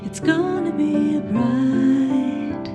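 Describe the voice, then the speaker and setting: A woman's voice: high voice, very slow. A woman singing a tender pop song in a radio variety show. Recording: loud music with the voice.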